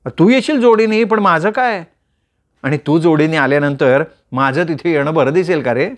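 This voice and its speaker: A man's voice: fairly high pitch, natural voice. A man voicing a playful teasing young woman. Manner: teasing, quick, bantering. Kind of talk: storytelling with voiced characters.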